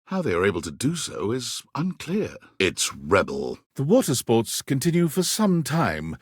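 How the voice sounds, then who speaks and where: Wry game narrator, husky, dramatic swooping delivery, bone dry studio sound. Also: male voice, mid-range voice, wildly swooping pitch, no room sound, full character voice. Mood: dryly mocking. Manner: theatrical, measured, wry. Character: wry omniscient male game narrator, theatrical and dryly mocking.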